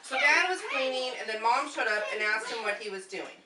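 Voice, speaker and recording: A woman's voice: a little high, lively intonation, fairly quick, crowd noise. An American woman reading a scripted story aloud to relatives as a puppet show narrator. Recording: echoey, noisy room recorded from far off.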